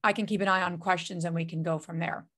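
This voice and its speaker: A woman's voice: on the low side, steady tone, fast, a bit bright. A woman hosting a webinar over a video call, talking casually with many fillers and restarts. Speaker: webinar host.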